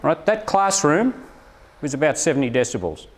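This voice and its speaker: A man's voice: on the high side, sing-song, normal speed, over a noisy crowd. A man presenting a live workshop to teachers, explaining things and often checking in with "right?".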